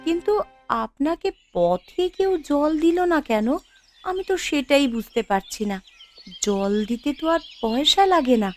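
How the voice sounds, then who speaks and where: A woman voicing a kind, humble younger sister in a moral-story cartoon, speaking gently and respectfully. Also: sits high, very animated, unhurried, soft background music. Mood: gentle, puzzled.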